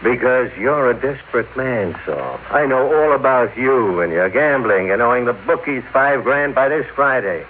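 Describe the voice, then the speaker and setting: A stern company boss from a classic radio drama, acted by a man. His mid-pitched voice swings widely as he moralizes emphatically, over a thin, faintly noisy vintage broadcast. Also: animated, normal speed, few pauses, phone-quality sound, close to an everyday voice. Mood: accusing. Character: Charlie, stern, self-righteous company boss and elder brother.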